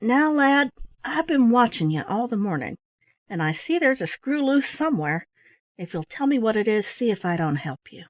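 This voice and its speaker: A woman voicing a rough but kindly male sailor in his early thirties, full of bluff, hearty seafaring talk in a storybook reading. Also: a little high, sing-song, moderate pace, dry recording, voice pushed up. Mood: advising.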